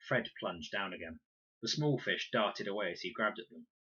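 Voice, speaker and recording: A man reading a children's adventure story aloud in an expressive, dramatic storytelling manner. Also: deep voice, moderate pace, husky, muffled recording. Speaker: audiobook reader and teacher reading aloud. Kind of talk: animated scripted narration of a children's adventure story.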